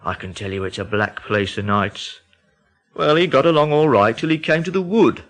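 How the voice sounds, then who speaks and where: An alarmed Midlands Englishman in a radio drama. His medium-pitched voice is urgent and dramatic, full of dread, as he tells his tale like someone badly shaken. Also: lively intonation, normal speed, a bit bright. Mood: hushed dread. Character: alarmed, agitated English Midlands man spreading rumours of terror.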